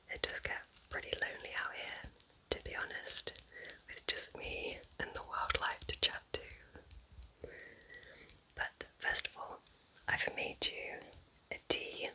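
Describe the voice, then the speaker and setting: A woman playing a kind, cosy forest witch in a whispered ASMR roleplay, breathy, gentle and chatty. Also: low-quality recording. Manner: gentle, soothing, chatty, affectionate.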